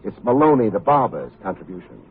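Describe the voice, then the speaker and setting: Husky, low-voiced radio private eye. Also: male voice, sing-song, quick pace, exaggerated voice. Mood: urgent, assured. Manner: hardboiled, wisecracking. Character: tough, wry, good-hearted male private detective. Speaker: lead actor and narrator. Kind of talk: old-time radio detective acting and narration. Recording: muffled old radio recording, music behind.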